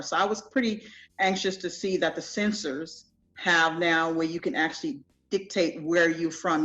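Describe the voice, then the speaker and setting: A woman's voice: low voice, fairly bright tone, lo-fi sound. Trinidadian woman, easygoing interview talk. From Trinidad and Tobago. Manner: easygoing, conversational, frequent "you know". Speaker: festival founder, guest. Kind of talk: casual interview.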